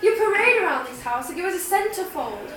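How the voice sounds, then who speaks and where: A woman acts out a scornful, accusing young woman in a theatrical monologue. The voice is high and emphatic, pushed out across a very reverberant room. The recording is distant, with clear noise. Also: big pitch swings, a little fast. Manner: scornful, emphatic, projected stage delivery. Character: angry, accusing young woman berating her housemate. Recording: very echoey noisy room, distant mic.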